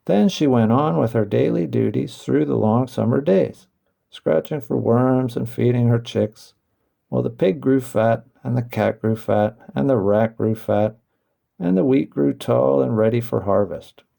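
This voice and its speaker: Gravelly man reading a children's storybook. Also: mid-range voice, unhurried, mellow. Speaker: audiobook narrator. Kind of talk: scripted children's storybook narration.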